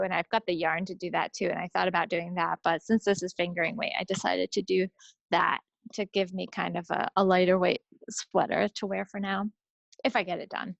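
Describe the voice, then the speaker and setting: Gravelly-voiced woman chatting casually. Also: fairly low pitch, dry recording, dull audio. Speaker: co-host of a knitting video podcast. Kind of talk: casual chat.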